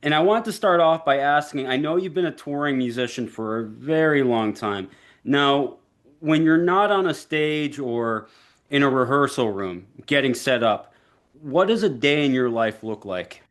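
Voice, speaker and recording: A man hosts an interview, asking questions earnestly and at a measured pace, with a few fillers. His voice sits at an ordinary middle pitch. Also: lively intonation. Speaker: podcast host.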